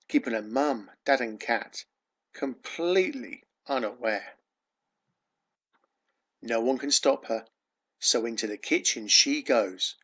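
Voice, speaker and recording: Male children's storyteller, mid-pitched, unhurried, expressive rhyming delivery with dramatic emphasis. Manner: dramatic emphasis on key words; sing-song refrain. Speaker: children's author reading own book.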